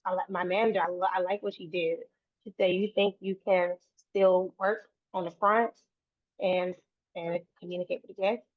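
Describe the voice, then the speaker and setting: A woman speaks earnestly and a little low, often restarting her phrases. The sound is tinny and phone-like, with no room echo at all. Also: fairly even intonation, normal speed. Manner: earnest, repeats and restarts phrases, faith-filled. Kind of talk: casual interview conversation. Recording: dry, tinny phone-like line.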